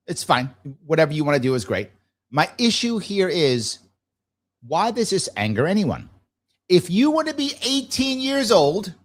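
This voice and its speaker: A man hosting a live talk show, giving blunt, argumentative commentary and repeating phrases emphatically to drive points home. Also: sits high.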